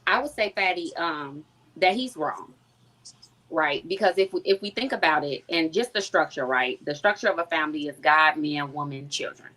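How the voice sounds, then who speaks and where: A woman with a lowish voice speaks up on a panel chat. She is deliberate and methodical. She stacks one point on the next to build her case. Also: expressive, sharp tone, low-quality recording. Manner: deliberate, builds an argument step by step. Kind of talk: casual panel discussion.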